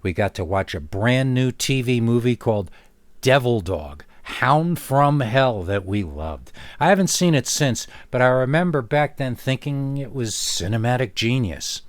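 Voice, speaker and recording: A man reading a first-person scary story as a narrator, expressive and dramatic, leaning on words with deliberate pauses. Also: medium pitch, dry recording.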